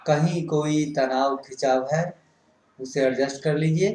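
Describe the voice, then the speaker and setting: Male yoga instructor, fairly high pitch, very unhurried delivery of short guided-meditation cues. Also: fairly even intonation, darkish tone. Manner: instructing in short, repetitive phrases. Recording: roomy, echoing sound.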